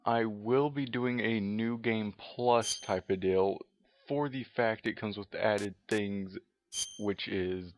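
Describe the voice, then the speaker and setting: A man giving casual commentary as the host of a game walkthrough. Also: sits low. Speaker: let's play host. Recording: muffled lo-fi mic, music behind. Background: game music.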